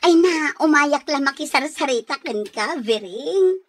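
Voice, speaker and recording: A woman's voice: high voice, lively intonation, unhurried. An actress playing a chatty, meddlesome village woman in a radio comedy drama.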